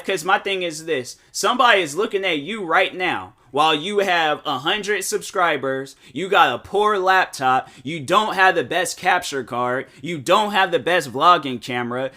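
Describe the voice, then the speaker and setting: A man's voice: on the high side, sharp tone. A man in his twenties hosting a solo podcast, giving a rambling motivational talk full of filler words. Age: 20s. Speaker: podcast host. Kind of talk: casual solo podcast monologue.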